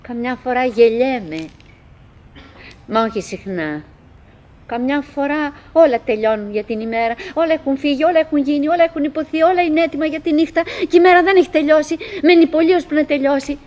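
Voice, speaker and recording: An actress playing a chattering, wistful, nagging wife who clings to cheer, in halting, repetitive theatrical monologue for an absurdist radio play. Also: female voice, high voice, darkish tone, lightly acted. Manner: halting, repetitive, theatrical, mimicking remembered dialogue.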